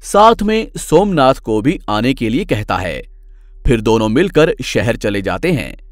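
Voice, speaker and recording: A man's voice: medium pitch, sing-song, a little slow, no echo, clean studio sound. A man narrating scripted moral tales as a storyteller.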